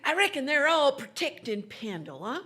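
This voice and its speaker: A woman's voice: sits high, very animated, normal speed, bright, forceful tone, heavily acted. A woman playing a chatty, folksy Old West townsperson in a western radio drama, with colloquial, drawling, gossipy talk. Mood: curious, sympathetic.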